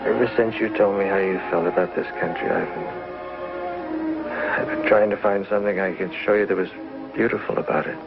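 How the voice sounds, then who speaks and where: An actor playing a gentle, injured young pioneer husband with gravelly, halting speech in a 1950s television western. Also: male voice, deep voice, fairly even intonation. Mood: weak, tender. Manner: halting, soft-hearted. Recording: muffled 1950s TV soundtrack with music.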